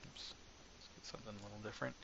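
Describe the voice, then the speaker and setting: A man narrating a casual software tutorial as the developer, informal and hesitant, as if thinking aloud. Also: lowish voice, level tone, rapid-fire, vocal fry. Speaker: software developer presenting own asset package. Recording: dull lo-fi mic with faint background noise.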